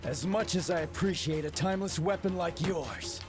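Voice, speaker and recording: An actor playing a boastful warrior with pompous, theatrical delivery in a video game cutscene. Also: male voice, on the high side, normal speed, over music, lightly acted.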